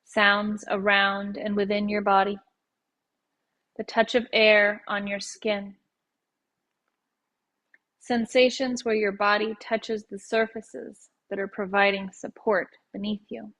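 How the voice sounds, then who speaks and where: A woman's voice: medium pitch, level tone. Soothing woman guiding a meditation. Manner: soothing, unhurried with soft phrase endings. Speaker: yoga nidra meditation guide. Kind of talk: guided meditation.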